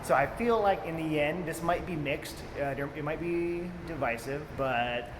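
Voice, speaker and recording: A man's voice: a little high, normal speed. A man co-hosting a casual, unscripted movie review, talking in a rambling, informal, enthusiastic fan's way. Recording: echoey reverberant room, clear background noise.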